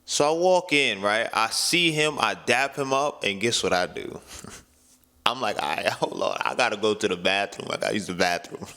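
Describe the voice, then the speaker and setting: A man with a voice gone hoarse from yelling, low-pitched yet with a bright, forceful edge. He talks in a loose, slangy way and keeps dropping in asides, and his voice trails off into a long reverb. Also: lively intonation, moderate pace. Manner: laid-back slangy talk, many filler asides. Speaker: vlogger. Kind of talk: casual storytelling to camera.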